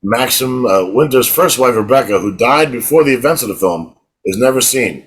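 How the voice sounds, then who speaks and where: A man's voice: medium pitch, expressive, normal speed, breathy edge. A middle-aged man co-hosting a podcast in casual chat, telling rambling stories and restarting his words. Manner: rambling storyteller, repeats words when starting. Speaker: podcast co-host.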